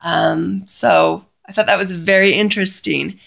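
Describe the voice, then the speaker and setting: A woman's voice: fairly low pitch, fairly even intonation, unhurried, vocal fry, fairly bright tone. A woman casually telling a personal story in a chatty way, often quoting both sides of a conversation.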